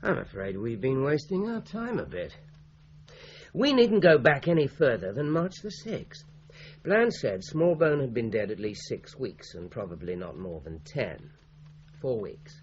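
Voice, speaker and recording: A courteous British detective inspector in an eighties radio drama. He is businesslike and methodical, with a widely varied pitch. The recording is dull, with some background hiss. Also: sing-song, a little mellow. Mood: polite probing. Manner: measured, businesslike, politely probing. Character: methodical, courteous male police inspector questioning suspects. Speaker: actor in a radio crime drama.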